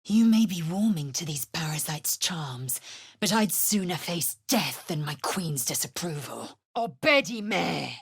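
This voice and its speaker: Casting: proud, fierce alien warrior woman for a fantasy game; low husky voice, curt and scornful, very dry sound. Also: expressive, measured pace, no echo, full character voice. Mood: incantation, forceful. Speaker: voice actress in a fantasy video game. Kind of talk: dramatic fantasy game voice lines.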